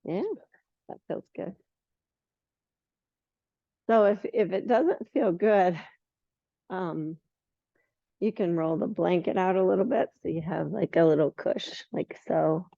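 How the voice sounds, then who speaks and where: A hoarse middle-aged woman guiding a yoga class in a relaxed, unhurried way, with some fillers along the way. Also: on the low side, dry recording. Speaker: yoga teacher. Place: yoga studio. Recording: muffled lo-fi recording.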